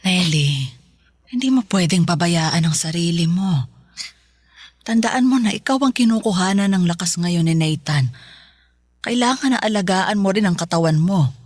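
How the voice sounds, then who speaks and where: An actress in a Filipino radio drama playing a caring older mother, concerned and gently admonishing with her grown daughter. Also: lowish voice, animated, normal speed, long pauses, breathy edge, no room sound. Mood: gently scolding.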